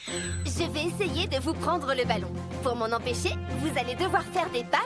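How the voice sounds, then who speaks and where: A woman's voice: high-pitched, animated, normal speed. A woman voicing a supportive, upbeat female monster truck coach in a children's cartoon, encouraging and instructive. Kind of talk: children's animated cartoon dialogue. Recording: music behind the voice. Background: music.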